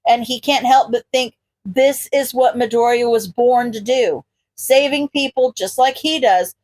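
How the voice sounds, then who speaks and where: A woman reads fiction as a narrator. Her voice is on the high side, and her delivery is expressive, scripted storytelling. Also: unhurried. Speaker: podfic narrator.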